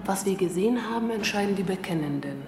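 A woman's voice: mid-range voice, animated, quick pace, natural voice. An actress playing a stern, authoritative woman in a radio drama, recorded distant and echoey. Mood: stern, hushing. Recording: echoey, distant, loud music behind.

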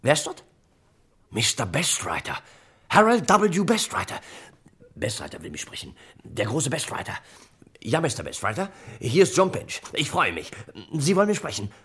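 Excitable inventor sleuth, swooping, husky. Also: male voice, fairly high pitch, normal speed, heavily acted. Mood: awed excitement. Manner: eager, exclamatory. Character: excitable inventor sleuth, crime-novel enthusiast. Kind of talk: 1950s-70s German radio crime drama acting.

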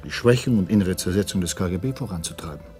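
An actor playing a resigned undercover double agent in a radio drama, terse and defensive. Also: male voice, sits low, quick pace, darkish tone, soft background music, natural voice.

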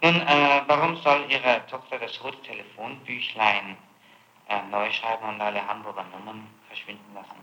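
A man's voice: lowish voice, fairly even intonation, moderate pace, bright, forceful tone, roomy sound, low-quality recording. A man questioning a suspect as prosecutor in a formal, pressing and persistent manner, on an archival tape recording. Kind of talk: formal interrogation.

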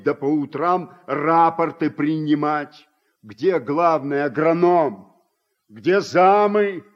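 An actor playing a middle-aged, plain-spoken Soviet farm man with an earthy, deliberate way of talking, in a radio drama. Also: fairly high pitch. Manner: earthy colloquial speech, reproachful and deliberate. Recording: dull, muffled old radio recording.

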